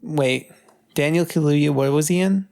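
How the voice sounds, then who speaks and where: A woman's voice: sits low, a little slow, warm tone. A woman co-hosting a casual podcast, bantering in a joking, mock-indignant, foul-mouthed way. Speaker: podcast co-host.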